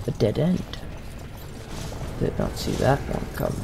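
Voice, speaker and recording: A man playing a calm adventurer in a roleplayed game commentary, deliberately narrating his own actions aloud. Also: mid-range voice, gravelly, background noise. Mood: musing. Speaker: let's player.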